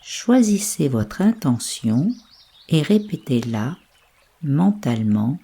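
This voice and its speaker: A woman with a soft, breathy voice leading a guided sleep meditation in a hushed, soothing, unhurried way. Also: sits low, very animated. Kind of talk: slow guided yoga nidra sleep meditation.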